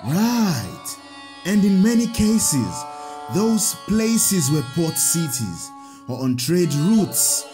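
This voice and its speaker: A kindly, learned priest in an audio drama. His voice sits a little high for a man and moves through lively rises and falls. He explains things to children slowly and patiently, over faint music. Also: exaggerated voice. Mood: gently instructive.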